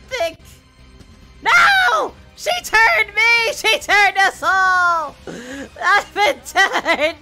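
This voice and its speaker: A man voicing a goofy, excitable parody of a cartoon hero in casual reaction commentary, swinging from muttering to exclamations. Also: extremely high pitch, heavily acted. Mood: alarmed, mock outrage. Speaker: reaction video host.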